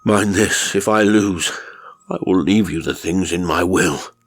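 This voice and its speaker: A man voicing a desperate, haunted chess fanatic who stammers, mutters and croaks in fear. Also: deep voice, unhurried. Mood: bitter, fearful.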